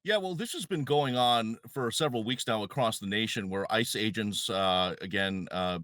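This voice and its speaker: A man's voice: fairly low pitch, normal speed, a bit bright, no echo, clean studio sound. An American man giving conversational political commentary as a panel guest.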